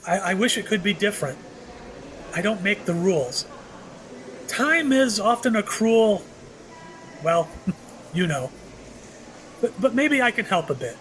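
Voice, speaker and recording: A man's voice: high voice, very animated, unhurried, long pauses, fairly bright tone, heavily acted. A man acting in an audio play as a mysterious, courteous and apologetic shopkeeper who speaks hesitantly. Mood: apologetic, kindly. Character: mysterious, apologetic male shopkeeper offering tastes of time. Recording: clearly noisy background.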